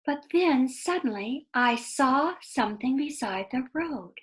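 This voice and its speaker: High-voiced woman reading slowly to children. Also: animated, a bit bright. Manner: deliberate, explanatory, addressing young listeners. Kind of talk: read-aloud children's story with asides.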